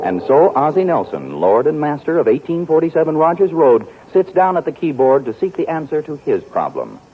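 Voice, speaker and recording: A male radio announcer reading scripted narration in a smooth, wry, declamatory way. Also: a little high, big pitch swings, brisk. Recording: tinny 1940s radio broadcast with music.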